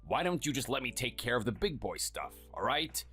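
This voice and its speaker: A performer voicing a cold, contemptuous workaholic husband who talks curtly and dismissively. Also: male voice, mid-range voice, very animated, fairly quick, a bit bright, faint music behind. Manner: curt, scornful, dismissive.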